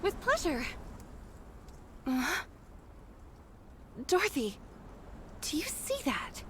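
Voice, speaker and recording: Very high-voiced, easygoing soldier girl. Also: huge pitch swings, extremely slow, a little hiss or hum, full character voice. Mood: warm, alert. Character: friendly, level-headed female soldier, caring squadmate. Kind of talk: scripted anime game voice acting.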